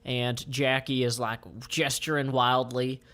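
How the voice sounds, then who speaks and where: Man, middle pitch, casual comic read-aloud, descriptive, halting improvised phrasing. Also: level tone, a bit bright. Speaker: comic creator reading his comic aloud.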